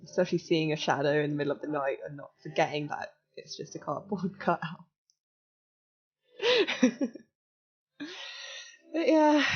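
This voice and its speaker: A young woman in her twenties, slightly croaky, chatting casually and giggling as she walks viewers through a hacking exercise on a live stream. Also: mid-range voice, highs cut off. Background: faint music.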